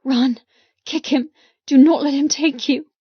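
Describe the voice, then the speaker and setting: A woman's voice: high voice, fairly even intonation, measured pace, dry recording, dull audio, pitched above the performer's own voice. Woman acting a defiant, frightened young captive woman. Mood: panicked. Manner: indignant, urgent, emotional. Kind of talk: audiobook character dialogue.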